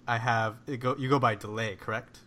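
A man hosting a casual interview, upbeat and quick to laugh. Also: mid-range voice, steady tone, pushed and bright. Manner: enthusiastic, laughs often. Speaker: interviewer host.

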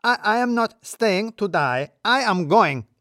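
Indignant, wound-up male freelancer, pitched high, dramatic and shouting, for an office comedy audio story. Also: animated, measured pace, no echo, clean studio sound, full character voice. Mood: furious defiance. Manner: shouting, affronted, clipped formal phrasing.

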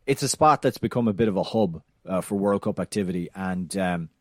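A man's voice: low-pitched, fairly quick, no echo, studio quality. A man co-hosting a soccer podcast with a non-American English accent, telling stories in a casual, chatty way with frequent fillers and restarts. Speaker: podcast co-host.

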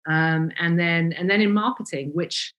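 A woman's voice: fairly low pitch, fairly even intonation, normal speed, fairly bright tone. A woman, a guest in an interview, speaking in a self-deprecating, hesitant way with many ums and restarts. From England (Cambridgeshire). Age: 40s. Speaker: guest, founder of a vegan brand. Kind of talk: reflective interview conversation.

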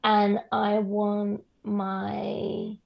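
Croaky, husky woman guest. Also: mid-range voice, completely flat delivery, extremely slow, muffled recording. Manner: effusive, swears casually. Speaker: podcast guest. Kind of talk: candid casual conversation.

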